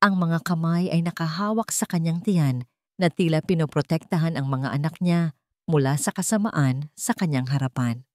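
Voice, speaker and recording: A woman reads a dramatic romance story as its narrator. Her voice sits on the low side for a woman, and the recording is dry and close. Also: moderate pace, dark tone, dry recording.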